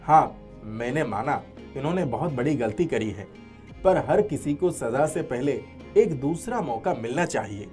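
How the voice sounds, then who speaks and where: A man's voice: medium pitch, expressive, a little slow, a little mellow, soft background music, close to an everyday voice. A man voicing a forgiving, honest, hard-working young villager in a cartoon moral story. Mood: earnest, forgiving.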